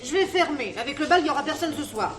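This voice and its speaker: A woman's voice: sits high, expressive, very fast. An actress playing a fed-up café owner, nagging her customers bluntly in naturalistic French dialogue. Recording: noisy café background.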